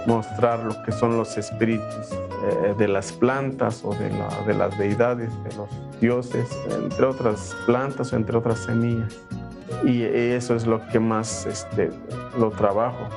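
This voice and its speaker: Man, artisan interviewee, low gravelly monotone, guitar music behind. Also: slow. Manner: plain-spoken, with restarts. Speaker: artisan paper maker interviewed. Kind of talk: documentary interview.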